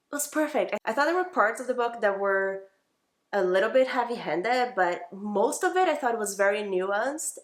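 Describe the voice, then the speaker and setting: A woman's voice: medium pitch, echoey room. A woman giving casual, chatty book reviews as a vlogger, informal, opinionated and emphatic in how she talks.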